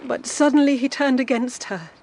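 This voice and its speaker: A woman's voice: sits high, normal speed, a little mellow, low-quality recording. An actress playing an anxious, guarded middle-aged woman, hesitant and pleading, in a period audio drama. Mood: sorrowful, secretive. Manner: hesitant, pleading, formally polite. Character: anxious, guarded older female guardian keeping a sorrowful secret.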